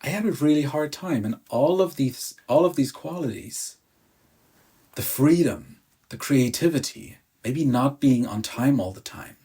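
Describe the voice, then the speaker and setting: A young adult man with a German accent, a coach speaking in a calm, measured, gently earnest teaching monologue. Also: mid-range voice, husky. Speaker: emotional release coach.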